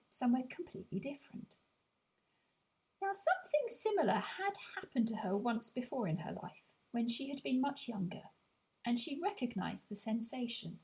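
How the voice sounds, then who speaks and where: A woman narrating a children's audiobook, telling the story expressively in a measured way with deliberate pauses. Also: a little high, darkish tone. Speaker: author reading their own audiobook.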